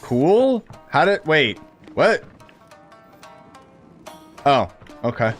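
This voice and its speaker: A man's voice: a little high, big pitch swings, very slow. A man streaming a game with casual, opinionated, sardonic commentary. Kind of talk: casual live gameplay commentary. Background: game music.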